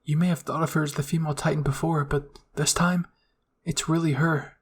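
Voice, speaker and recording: A man's voice: a little high, little pitch movement, brisk, husky. A man voicing a stern, protective young woman soldier in an anime story. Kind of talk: anime fan-fiction roleplay.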